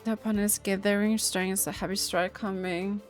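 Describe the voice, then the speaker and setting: A woman's voice: mid-range voice, level tone, moderate pace, faint music behind. A woman giving casual gameplay commentary, reading game text aloud and cheering her pet with giggles. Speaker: gaming video creator.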